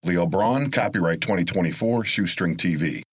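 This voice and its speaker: A man's voice: sits low, normal speed, slightly rough. A man reading a formal scripted legal disclaimer as an announcer.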